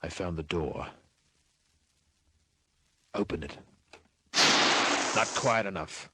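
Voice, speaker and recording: An Australian actor playing a tough, wisecracking private detective in an old-time radio crime drama, narrating in terse, clipped slang with a rough edge. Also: male voice, sits low, slow pace, bright, forceful tone, slight background noise, heavily acted. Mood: tense, dry.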